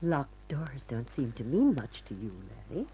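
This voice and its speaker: Guarded noir dame, really low voice that swings around a lot, dramatic, muffled hummy old radio recording. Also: female voice, very animated, moderate pace, warm tone, a little hiss or hum. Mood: cool, guarded. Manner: dramatic, emotive. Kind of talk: 1950s radio crime drama acting.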